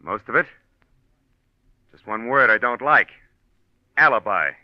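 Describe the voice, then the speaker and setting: A man's voice: medium pitch, lively intonation, no echo, natural voice. Man playing defensive American husband, muffled old radio. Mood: defiant, indignant. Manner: halting, indignant self-justification. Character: evasive, defensive husband suspected of murdering his wife. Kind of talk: 1940s radio drama acting.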